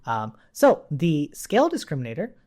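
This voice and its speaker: A man's voice: on the high side, wildly swooping pitch, moderate pace, a little mellow. A man giving an informal coding tutorial as an instructor, thinking aloud while he types and often checking in with a questioning tag. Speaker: programming instructor.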